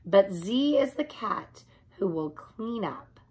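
A woman in her thirties reading a children's story aloud in an expressive, deliberate way, stretching key words. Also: mid-range voice, a little hiss or hum. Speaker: childcare reader. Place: small furnished room at home, indoors.

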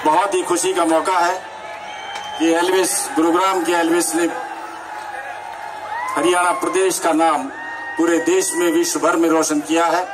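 A man's voice: fairly high pitch, little pitch movement, unhurried, long pauses. A man giving formal, declamatory commentary at an outdoor ceremony, using honorific language. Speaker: event announcer. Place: outdoor felicitation event with a crowd. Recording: distant recording with crowd noise.

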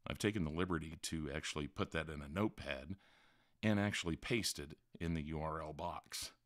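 A man's voice: a bass voice, brisk, no echo, clean studio sound. A man hosting a tutorial, narrating step-by-step instructions in a voice-over. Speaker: tutorial host. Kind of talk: how-to screen-recording narration.

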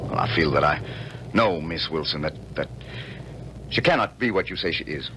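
Deep-voiced courtly colonel. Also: male voice, animated, normal speed. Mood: indignant. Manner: formal, courteous. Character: courtly, lovestruck Confederate colonel, proud and formal. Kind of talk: 1970s radio drama acting. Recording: very noisy vintage radio recording.

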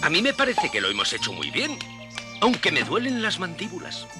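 A man voicing an excitable, grumbling but helpful grasshopper in a Spanish-dubbed children's cartoon, with exclamatory, theatrical delivery. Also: high-pitched, brisk. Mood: wry, relaxed. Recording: loud music behind the voice.

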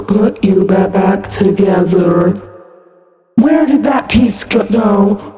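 A woman voicing a menacing, broken animatronic fox for fan-made game lines, stuttering and glitchy, halting and sinisterly sweet. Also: fairly high pitch, mellow. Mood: broken, wistful. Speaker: amateur voice actor. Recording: echoey long reverb over music.

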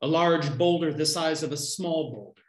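A man in his fifties reading his poems aloud on a video call from home, declaiming short lines with deliberate pauses. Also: fairly high pitch, a little hiss or hum. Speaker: poet reading own poems. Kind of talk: live poetry reading. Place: small room at home. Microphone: computer mic on a video call. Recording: roomy, echoey home video-call sound.

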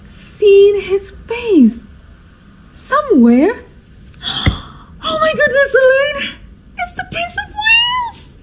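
Casting: actress as exasperated society matron for radio play, high voice, swooping delivery, flustered and theatrical. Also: female voice, sing-song, measured pace, darkish tone, a little hiss or hum, poor audio. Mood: astonished. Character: histrionic, exasperated society hostess and mother. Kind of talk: stagey radio drama acting.

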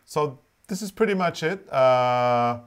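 A man's voice: mid-range voice, lively intonation, slow pace, clean tone. Chatty, playful man at home. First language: Norwegian. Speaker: co-host of a knitting channel. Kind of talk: casual chat to camera. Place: living room at home.

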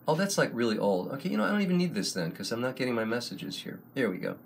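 An older man hosting a casual livestream from a small room, talking slowly into a close webcam, rambling with many ums and restarts. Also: mid-range voice, sing-song, creaky, a little hiss or hum. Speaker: online service host and songwriter. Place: small room before a wooden wall, indoors. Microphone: webcam at close range.

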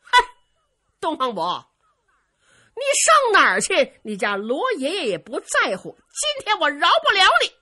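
A woman's voice: medium pitch, sing-song, a little slow, pauses often, bright, forceful tone, breathy edge, exaggerated voice. A woman voicing a haughty, vengeful young male warrior in a storytelling tale, swaggering and sneering. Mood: scornful anger.

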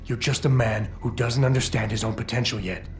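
An actor playing a stoic, determined security operative in a video game, speaking in a measured, terse way. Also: male voice, medium pitch, steady tone, faint music behind, lightly acted. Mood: earnest, persuasive. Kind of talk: video game cutscene dialogue.

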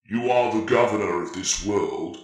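A man voicing a huge, grim space marine sergeant with an impossibly deep, rumbling voice and a blunt, commanding delivery. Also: normal speed, roomy sound, close to an everyday voice.

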